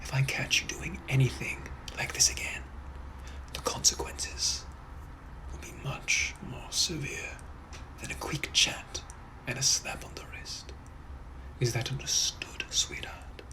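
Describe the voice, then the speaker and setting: A man playing a menacing, possessive gentleman from the 1800s, speaking in a hushed, breathy, put-on voice. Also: medium pitch, close to an everyday voice, rough recording. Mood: threatening. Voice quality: hushed breathy whisper, drawn-out purring delivery. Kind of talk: POV comedy skit.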